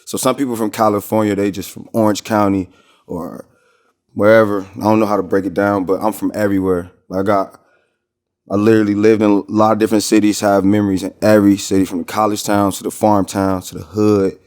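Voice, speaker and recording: Male American rapper as interview guest, low register, informal slang, loose rambling delivery. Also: little pitch movement, fairly quick, darkish tone. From Minnesota, United States. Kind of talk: casual interview chat.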